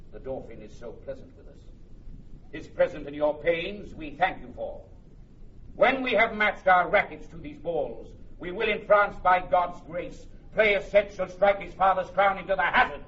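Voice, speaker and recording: An actor playing a proud, steely young English king in a declamatory, measured Shakespearean radio drama style. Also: on the high side, sharp tone. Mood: cold restraint. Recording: dull, faintly noisy old radio recording, distant.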